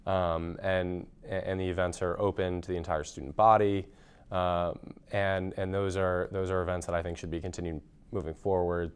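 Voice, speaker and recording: A young man with a very deep, smooth and resonant voice explains things in an interview. He speaks in a measured, somewhat formal way and often pauses on "you know". He sounds composed. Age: young adult. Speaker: graduating college senior. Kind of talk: interview answers.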